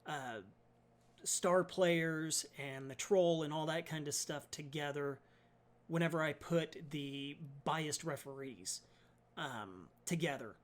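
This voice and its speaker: A man, his voice slightly raspy and soft from allergies, talking through a casual hobby tutorial with plenty of ums and uhs. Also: a little high, takes it slowly. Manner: chatty, many ums and uhs, apologetic. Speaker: miniatures hobby YouTuber.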